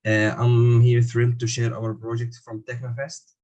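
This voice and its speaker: A man's voice: mid-range voice, completely flat delivery, normal speed, darkish tone, lo-fi sound. A young man from Syria, a new engineering graduate, presenting his team's project on a video call from a prepared text, with some grammar slips. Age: young adult.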